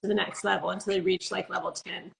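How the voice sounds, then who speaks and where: A woman's voice: mid-range voice, level tone, fast, gravelly, low-quality recording. A woman hosting a casual live interview, responding with enthusiasm and short affirmations. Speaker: host.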